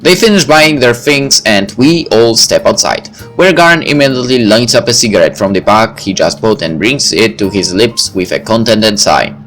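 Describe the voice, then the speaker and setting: A man's voice: fairly low pitch, animated, moderate pace, hardly any pauses, a bit bright. A man reading a visual novel aloud as narrator, with casual asides. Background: background music.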